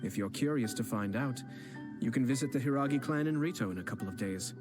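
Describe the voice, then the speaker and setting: An actor playing a composed young noble strategist in a video game, speaking in a calm, measured, quietly confident way, with game music behind him. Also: male voice, medium pitch, natural voice. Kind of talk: game voice acting.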